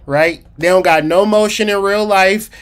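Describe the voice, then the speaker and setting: A man hosting a casual livestream about psychology, streetwise and slangy, talking straight to his chat and slowing down to stress key points. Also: high voice. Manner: emphatic, slows down to stress points. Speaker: livestream host.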